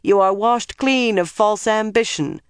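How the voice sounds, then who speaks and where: A woman's voice: medium pitch, animated, a little slow, clear, resonant tone, dry recording, studio quality, voice pushed up. A woman narrator voicing a stern priestess in an audiobook, intoning formally and ceremonially. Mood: solemn.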